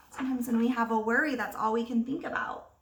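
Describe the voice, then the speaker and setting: A woman teacher reading a picture book aloud to young children, expressively, pausing to ask them simple questions. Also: on the high side, a bit bright. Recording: roomy echo, distant microphone.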